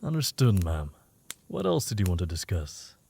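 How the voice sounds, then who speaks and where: A man's voice: low voice, big pitch swings, moderate pace, gravelly, mellow, breathy edge, full character voice. A man playing a courteous, self-assured underworld informant in an audio roleplay, talking in a polite, formal way. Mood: suave, composed.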